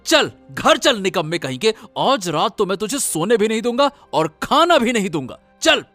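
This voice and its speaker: A man playing a blustering, high-pitched village bully. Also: sing-song, normal speed, bright, forceful tone, soft background music, exaggerated voice. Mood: angry, threatening. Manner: blustering, scolding. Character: hot-tempered, bullying village donkey owner, cowardly when scared. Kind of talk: animated Hindi moral-story dramatization.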